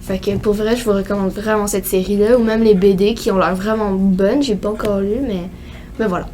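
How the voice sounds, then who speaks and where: A woman's voice: mid-range voice, fairly even intonation, a little fast, dark tone, a little hiss or hum. A teenage girl with a Québécois French accent, co-hosting a casual podcast chat, rambling with lots of fillers. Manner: rambling, many fillers like "genre" and "euh". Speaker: co-host.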